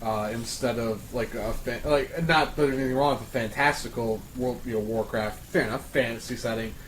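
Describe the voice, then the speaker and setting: A man's voice: mid-range voice, steady tone, brisk, slight background noise, rough recording. A man in a casual round table discussion, hesitant and searching for his words. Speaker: podcast panelist.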